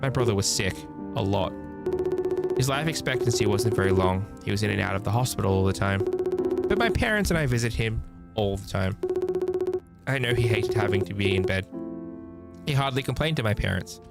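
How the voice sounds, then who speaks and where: A man plays a gentle young nurse in a visual novel game. The delivery is earnest, soft and measured, with a lively range. Music plays clearly behind the voice. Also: fairly low pitch. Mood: sincere, fond. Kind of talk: reading visual novel dialogue.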